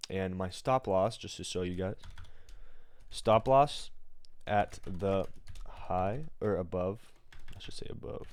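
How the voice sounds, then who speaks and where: An American man with a raspy morning voice, explaining things step by step in an informal, slangy livestream tutorial style. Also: low-pitched, slow pace, long pauses, a little mellow. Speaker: day trader and livestream host. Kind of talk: casual live trading tutorial.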